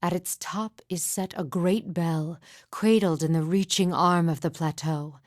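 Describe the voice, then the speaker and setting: A woman voicing a sorrowful, haunted mystic midwife in a video game, speaking in solemn, hushed, deliberate and formal phrases. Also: fairly low pitch, fairly even intonation, no echo, studio quality, natural voice. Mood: wistful, recounting. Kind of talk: video game character dialogue, poetic and measured.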